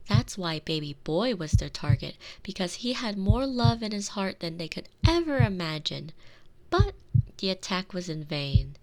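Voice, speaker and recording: A woman playing a tender, protective girlfriend in an intimate ASMR roleplay, speaking soothingly and softly into a close binaural microphone with lingering phrases. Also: fairly low pitch, slight background noise, natural voice, rough recording. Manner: soothing, breathy near-whispers, lingering drawn-out phrases. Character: tender, protective girlfriend soothing her frightened lover to sleep.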